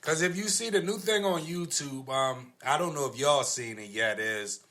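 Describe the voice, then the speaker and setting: A man's voice: mid-range voice, normal speed, bright, forceful tone. An American man co-hosting a podcast, debating casually in an insistent, argumentative, slangy way. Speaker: podcast co-host.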